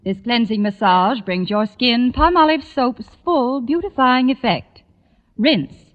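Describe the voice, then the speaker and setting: A woman's voice: on the high side, a little slow. A woman as an advertisement spokeswoman, giving scripted instructions in a 1940s radio commercial sales style. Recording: dull, reverberant old 1940s radio broadcast.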